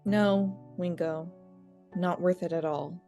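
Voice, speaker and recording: A woman's voice: on the low side, steady tone, takes it slowly, dark tone, faint music behind. A woman playing a young, troubled female mouse in an audio drama, earnest and plaintive. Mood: regretful.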